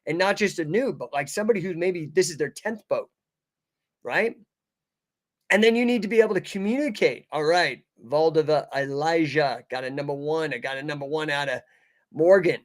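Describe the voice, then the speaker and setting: A man with a slightly high voice gives informal live coaching online. He talks in punchy, emphatic phrases, addresses listeners like buddies in casual slang, and keeps checking that they follow. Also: moderate pace.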